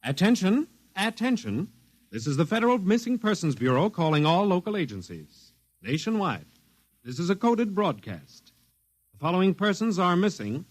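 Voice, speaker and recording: A man's voice: sits high, big pitch swings, moderate pace. An actor playing a breezy, slangy male radio operator at a government bureau in a 1950s radio drama. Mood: brisk, official. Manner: informal, colloquial wisecracking.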